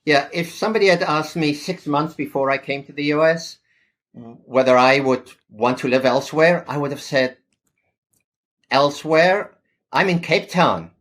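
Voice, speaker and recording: A man in his fifties, a podcast guest who talks thoughtfully and pauses on fillers. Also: a little high, a bit bright. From South Africa. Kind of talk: podcast interview.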